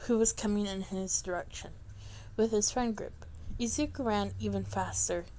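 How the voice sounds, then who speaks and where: A woman with a fairly high voice reads fiction aloud. She is an amateur narrator, and her delivery is halting, with stumbles and repeated words as she works through the text. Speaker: amateur fan fiction reader. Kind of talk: reading a story aloud.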